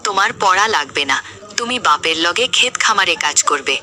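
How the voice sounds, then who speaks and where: A woman's voice: fairly low pitch, lively intonation, a little fast, pushed and bright, slight background noise, voice pushed down. A woman voicing a strict village mother in a cartoon, firm and dismissive. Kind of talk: cartoon voice acting.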